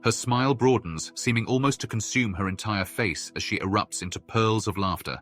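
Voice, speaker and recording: Low-pitched man narrating a fantasy game. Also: normal speed, fairly bright tone, faint music behind. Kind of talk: scripted storybook-like game narration.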